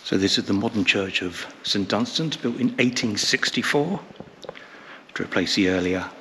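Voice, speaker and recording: A man's voice: on the low side, lively intonation, moderate pace, a little hiss or hum. A man in his fifties giving an enthusiastic, rambling walking commentary while touring old churches, filmed with a handheld camera at arm's length. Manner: enthusiastic, rambling, softly spoken asides. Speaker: vlogger touring historic churches. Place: inside old stone chapels and churches. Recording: echoey church interior, long reverb.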